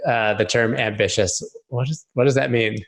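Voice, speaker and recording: A man's voice: mid-range voice, fairly even intonation, moderate pace, gravelly. A man hosting a casual interview, asking curious, rambling questions with repeated words. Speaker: podcast host.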